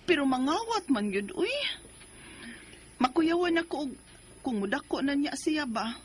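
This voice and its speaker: A woman plays a struggling young mother who also narrates this radio drama. Her voice sits high, and faint room noise lies under it. She speaks earnestly and defends herself quickly. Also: very animated. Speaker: narrator and lead.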